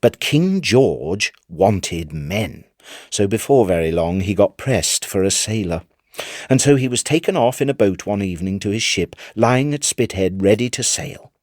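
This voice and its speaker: A man narrating a literary tale in an expressive, theatrical storytelling manner. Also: fairly low pitch, measured pace, dry recording, studio quality. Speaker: narrator, audiobook reader. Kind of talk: dramatic scripted literary narration.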